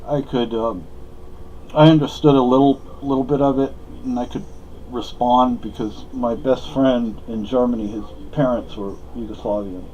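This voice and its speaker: Spanish-born man, native Spanish speaker, talking off the cuff; halting, opinionated, mid-pitched, dull muffled sound. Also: steady tone, mellow, slight background noise. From Spain. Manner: rambling, halting, frequent fillers and restarts. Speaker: vlogger.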